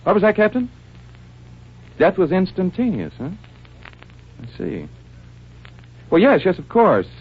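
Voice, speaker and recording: An actor playing a composed, inquisitive doctor-detective with a measured, authoritative manner in an old-time radio drama. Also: male voice, high voice, wildly swooping pitch, husky, heavily acted. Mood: brisk, composed. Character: composed, inquisitive male doctor and criminal sleuth. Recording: dull, hissy vintage radio recording.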